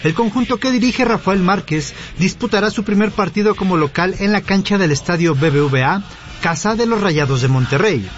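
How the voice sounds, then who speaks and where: A man's voice: high voice, expressive, normal speed, lo-fi sound. A man reading a scripted sports news report in a formal newsreader style.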